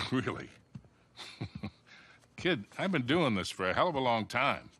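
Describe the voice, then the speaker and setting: Game role: seasoned older American man, practical, wry, animated, recorded close and dry. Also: mid-range voice, takes it slowly, breathy edge, no echo, heavily acted. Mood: wry, exasperated. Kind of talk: naturalistic video game cutscene acting.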